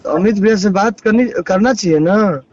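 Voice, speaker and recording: A man's voice: high voice, normal speed. A man with a Bhojpuri accent arguing on a recorded phone call, admonishing, interrupting and repeating his phrases. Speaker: caller. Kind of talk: argumentative phone conversation.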